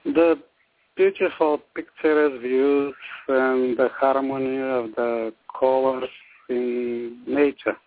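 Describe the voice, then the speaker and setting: Slow Bulgarian man on a tinny line. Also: mid-range voice, little pitch movement. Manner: slow, halting, with many pauses and fillers. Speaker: guest artist. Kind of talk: interview answers.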